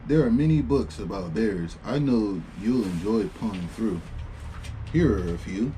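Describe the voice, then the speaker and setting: A man's voice: lowish voice, lively intonation, measured pace, mellow, a little hiss or hum, rough recording. A man voicing a polite, bossy young girl who plays a welcoming, chatty librarian in a storybook. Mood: gracious, excited. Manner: gracious, chatty, mildly fussy. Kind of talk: storybook read-aloud dialogue.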